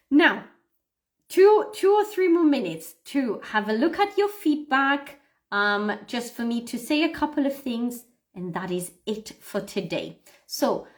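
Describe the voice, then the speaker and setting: A woman hosting a livestream English lesson as the teacher, speaking deliberately and encouragingly to learners as she models phrases for them. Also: high voice. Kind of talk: read-aloud pronunciation lesson, addressing learners.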